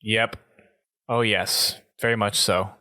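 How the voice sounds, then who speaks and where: A man's voice: on the low side, fairly even intonation, slow, pushed and bright, no room sound. Man hosting a casual podcast, dry close sound. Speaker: podcast host. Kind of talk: casual gaming podcast chat.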